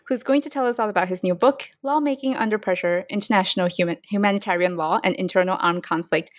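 A woman's voice: medium pitch, dry recording, clean studio sound. A woman hosting an academic book podcast, reading a formal guest introduction and asking questions. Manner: reads a formal introduction quickly. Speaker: podcast host.

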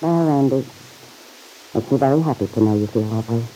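An actress playing a kindly middle-aged mother who gently chides, in an old-time radio serial. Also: a bass voice, brisk, slight background noise. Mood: touched, bashful. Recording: muffled old radio broadcast recording.

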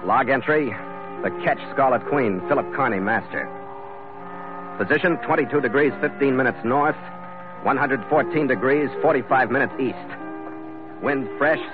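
A man's voice: medium pitch, close to an everyday voice. An actor playing a confident ship's captain who narrates his seafaring adventures in 1940s radio style. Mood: brisk, official. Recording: dull old radio recording, loud music behind.

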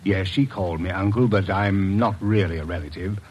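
An actor as an authoritative, coolly controlling doctor from a vintage radio mystery. The male voice is on the low side and politely firm. Some noise sits behind it on the old recording. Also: fairly quick, close to an everyday voice. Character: smoothly controlling, sinister doctor posing as guardian. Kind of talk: old-time radio drama acting.

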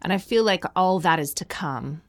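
A woman's voice: lowish voice, animated, moderate pace, very noisy. A woman in her thirties from Canada, a touring comedian chatting as a guest with dry, self-mocking humor. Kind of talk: casual chat.

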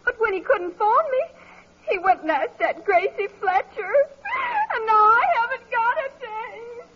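Very high, wailing teenage girl. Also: measured pace. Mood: tearful, wailing. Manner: plaintive, wailing. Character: melodramatic, whiny teenage daughter. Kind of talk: 1940s radio comedy acting. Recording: muffled old radio recording.

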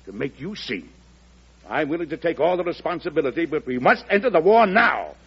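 Impassioned, husky American president. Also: male voice, fairly high pitch, very animated, a little fast, exaggerated voice. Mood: forceful, urgent. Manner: solemn, forceful, oratorical. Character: grave, impassioned American president pressing for war. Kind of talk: old radio drama acting. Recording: muffled old radio recording.

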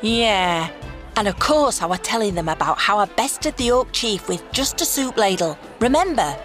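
A woman's voice: mid-range voice, lively intonation, moderate pace, a bit bright, faint music behind, close to an everyday voice. A woman playing a cocky, boastful elf adventurer in a fantasy audio drama, with a brash, showy manner.

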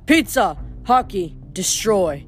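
A woman voicing a huge, dim-witted male brute in a storybook, grunting short simple words slowly. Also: medium pitch, big pitch swings. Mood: eager, destructive. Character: huge dim-witted brutish male hockey goon demigod.

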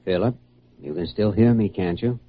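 An actor playing a calm, authoritative American doctor in a classic radio drama, speaking in a measured, reassuring way. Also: male voice, on the low side. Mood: gently probing. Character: calm, authoritative male doctor guiding a hypnotized patient. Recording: dull, lo-fi old radio recording.

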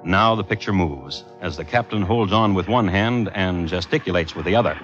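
An actor narrating an old-time radio drama as a dry, theatrical storyteller, in deliberate clipped phrases. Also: male voice, low-pitched, a bit bright. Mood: dry storytelling. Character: measured, dryly theatrical storyteller of sea crime tale. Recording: muffled vintage radio recording. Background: music.

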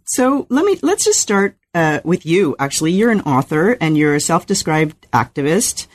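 A woman hosting an interview podcast, reading a measured introduction and asking questions with some hesitation. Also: lowish voice, very animated, no room sound. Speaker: podcast host.

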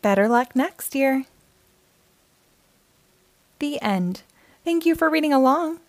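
A woman hosting a storytime show, reading a children's picture book aloud in an expressive, measured way. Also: sits high, no room sound.

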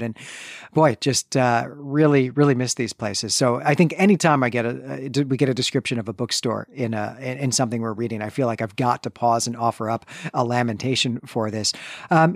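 A middle-aged man talks through a book recap as a podcast co-host. His delivery is conversational and emphatic, with real enthusiasm, at an average male pitch. The recording is close and dry. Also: no echo. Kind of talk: literary recap commentary, conversational. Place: basement room, close and dry.

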